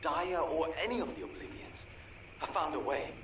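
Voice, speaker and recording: A man voicing an earnest scientist in a video game, explaining his treatment in a calm, measured way. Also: on the high side. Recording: clear background noise.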